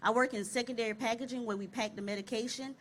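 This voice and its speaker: A woman's voice: fairly high pitch, steady tone, fast, a little hiss or hum. A woman in her thirties speaking cheerfully and plainly on an outdoor panel. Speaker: panelist, packaging operator. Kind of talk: panel discussion. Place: outdoor stage.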